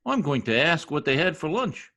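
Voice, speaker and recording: A man's voice: fairly high pitch, sing-song, moderate pace, pushed and bright, exaggerated voice. A man playing a folksy, self-important wisecracking husband in an old-time radio comedy, talking in slangy bluster. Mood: grumbling eagerness. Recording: home video-call recording.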